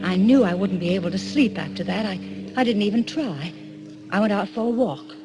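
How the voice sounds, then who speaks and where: A woman's voice: mid-range voice, expressive, a little fast, lightly acted. An actress playing an anxious, troubled young woman in a 1940s radio drama, hesitant and full of dread as she tells her story. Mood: uneasy, haunted. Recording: old radio broadcast, music behind.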